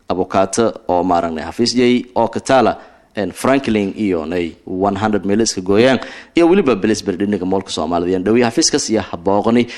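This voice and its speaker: A man hosting a community TV interview, asking questions in a halting way with many restarts. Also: on the low side, a little mellow. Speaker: interview host.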